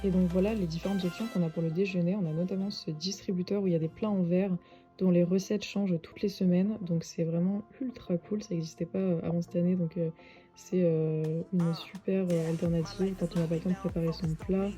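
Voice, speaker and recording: Young woman, casual vlog chat, filler-heavy, mellow lowish voice, faint background music. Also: brisk. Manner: relaxed and chatty, many "euh" fillers. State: stuffy with a cold. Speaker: vlogger, finance master's student.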